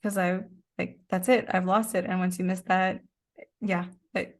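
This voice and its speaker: A woman's voice: lowish voice, little pitch movement, moderate pace. A woman being interviewed as a guest, explaining things in a casual, reflective way. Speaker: interview guest, performing artist.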